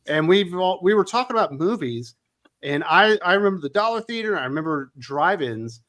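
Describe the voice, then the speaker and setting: A man's voice: high voice, lively intonation, fairly bright tone. A man hosting a casual comic book talk show, teasing his guest and laughing easily. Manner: teasing, jokey, quick to laugh. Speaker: podcast host.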